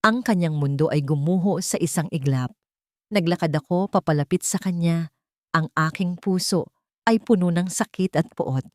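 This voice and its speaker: A woman narrates a scripted love drama in the first person. Her voice sits a little low, in a close, dry recording with dramatic storytelling delivery. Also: moderate pace, a little mellow, no room sound.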